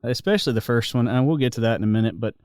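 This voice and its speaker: A man's voice: mid-range voice, racing, a little mellow, no room sound, clean studio sound. A man hosting a podcast who talks in a friendly, easygoing way, often restarting his sentences. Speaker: podcast host. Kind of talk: casual podcast chat.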